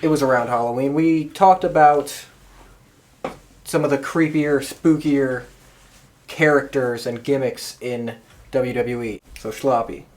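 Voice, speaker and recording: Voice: mid-range voice, fairly even intonation, unhurried, darkish tone. A podcast host chatting casually. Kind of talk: casual chat.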